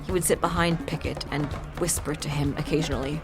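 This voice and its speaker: A woman playing a desperate, meticulous captive woman pleading earnestly and haltingly in a fantasy audio drama. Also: low-pitched, steady tone. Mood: weary, grim. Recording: loud music behind the voice. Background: music.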